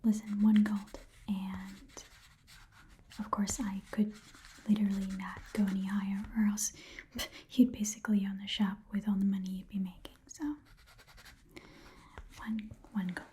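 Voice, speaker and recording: A woman voicing a chatty, haggling goblin pawn shop keeper in a soft whispered ASMR roleplay, muttering and repeating words to herself. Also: mid-range voice, flat delivery, a little slow, long pauses, a little hiss or hum, close to an everyday voice. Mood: reluctant, haggling.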